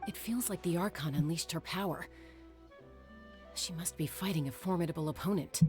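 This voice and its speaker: A calm, trusting female companion voiced by a woman in a video game. Her voice is low, and loud music plays behind it. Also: moderate pace, lightly acted. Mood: calm, assured.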